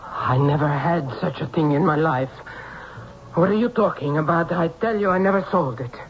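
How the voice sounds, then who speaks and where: Defensive antiques dealer, raspy, radio play, dull lo-fi sound. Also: male voice, fairly high pitch, moderate pace. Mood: indignant. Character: indignant, defensive male antiques shopkeeper. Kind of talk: old-time radio drama acting.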